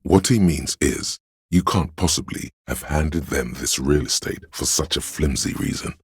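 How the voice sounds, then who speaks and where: A man voicing a grave, all-knowing narrator in a detective video game, measured and solemn, with a gravelly, husky voice. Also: very deep voice, no echo, studio quality, full character voice. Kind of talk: scripted dramatic narration.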